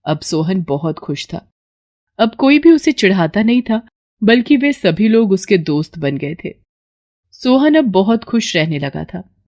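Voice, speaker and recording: A woman's voice: on the low side, darkish tone, lo-fi sound. A woman narrating a scripted moral story for children as a storyteller.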